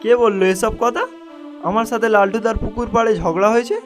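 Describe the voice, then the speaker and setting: A man's voice: high voice, steady tone, brisk, music in the background, close to an everyday voice. A man voicing a humble, hardworking young village fisherman in a cartoon, speaking in an earnest, modest way. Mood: indignant. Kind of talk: cartoon dialogue acting.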